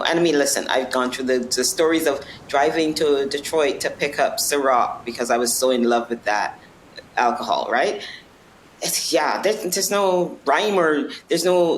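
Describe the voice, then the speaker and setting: A woman's voice: extremely low pitch, echoey room, slight background noise, low-quality recording. A woman from Barbados answering interview questions earnestly, often restarting and checking in with "right?". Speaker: non-alcoholic bar owner.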